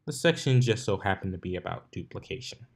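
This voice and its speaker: A man's voice: low-pitched, lively intonation, fairly quick, vocal fry, a little mellow. A man reading fan fiction aloud as narrator, in an amateur style with occasional stumbles over the words. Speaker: fanfiction narrator.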